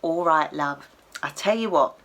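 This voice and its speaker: A woman's voice: deep voice, unhurried, vocal fry, heavily acted. A woman voicing a brash, cheeky male toy llama with a Cockney accent for a storybook. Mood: coaxing. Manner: loud, bold, streetwise, familiar. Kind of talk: acted storybook character voice.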